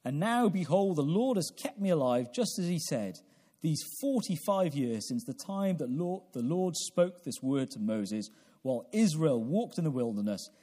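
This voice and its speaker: A man in his forties preaching a sermon in a deliberate, halting way, repeating phrases and posing questions to his listeners. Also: on the high side, expressive, mellow. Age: 40s. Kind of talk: evangelical sermon with prayer.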